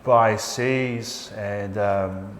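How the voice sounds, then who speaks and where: A man's voice: lowish voice, extremely slow, a little hiss or hum. Kiwi guy chatting on a radio show, easygoing, laughs a lot, sound bouncing round a big echoey room. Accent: New Zealand. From New Zealand. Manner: relaxed, friendly, laughs often, searches for words. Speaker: English teacher, guest. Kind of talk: casual radio interview.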